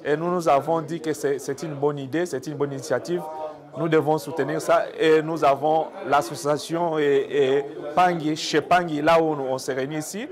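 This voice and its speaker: Congolese man, slightly high voice, crowd behind. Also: steady tone, moderate pace. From Democratic Republic of the Congo. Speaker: community association president. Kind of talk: on-camera interview statement to community media.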